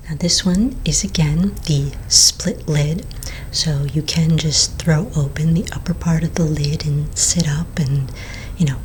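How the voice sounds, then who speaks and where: A woman acts out a gracious undead saleswoman in an ASMR roleplay. Her voice is low and soft, almost entirely a breathy whisper. She reassures the customer in an unhurried, slightly hesitant way, over faint background noise. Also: poor audio. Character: gracious undead vampire woman selling coffins.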